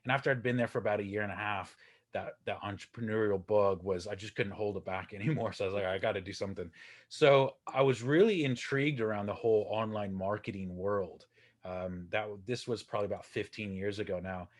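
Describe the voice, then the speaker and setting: Chatty man, low voice. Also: a little fast. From Texas, USA. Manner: chatty, self-deprecating, many fillers and restarts. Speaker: podcast guest, coach. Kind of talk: casual interview talk.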